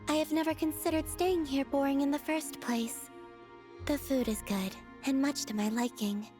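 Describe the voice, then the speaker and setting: Gentle aloof moon goddess in a game, soft highish voice, calm n formal, music playing behind. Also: female voice. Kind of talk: video game character acting.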